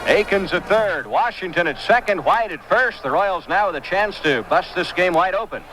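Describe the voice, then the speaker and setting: A man's voice: sits high, expressive, normal speed, music in the background, low-quality recording. An American man calling live baseball play-by-play in short, projected phrases. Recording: loud background noise, old game broadcast. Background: loud ambient noise.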